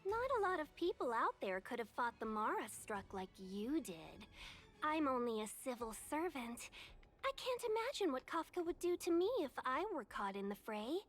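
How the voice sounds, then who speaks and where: A woman's voice: sits high, big pitch swings, normal speed, faint music behind. A woman playing a polite, cautious fox-woman civil servant in a video game, with an articulate, genteel manner. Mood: gently pleading. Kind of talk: scripted game voice acting.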